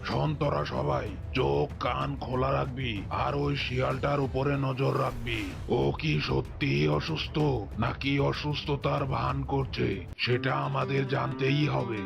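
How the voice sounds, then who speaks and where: Cartoon lion king, male actor: stern, menacing, very rough creaky voice at a medium pitch. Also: level tone, a little slow, music in the background. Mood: suspicious, commanding. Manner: threatening, scolding.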